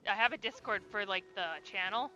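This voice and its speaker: A woman's voice: mid-range voice, a little fast, sharp tone, lo-fi sound, faint music behind. Casual woman chatting while gaming. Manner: chatty, offhand, swears casually. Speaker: gaming streamer. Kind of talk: casual live-stream chat while playing.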